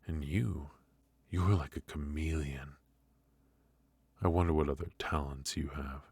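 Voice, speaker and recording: A man playing a teasing, menacing mafia boss in an ASMR roleplay, speaking in a halting, mock-polite, softly taunting way. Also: extremely low pitch, steady tone, slightly rough, no echo, heavily acted. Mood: flirtatious, intrigued.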